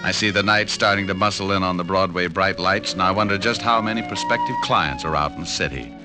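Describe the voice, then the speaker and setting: An actor playing a hard-boiled private detective narrating in a dry first person, in a 1940s radio drama. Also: male voice, low voice, a little fast, close to an everyday voice, poor audio. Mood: wry. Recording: old radio narration over music.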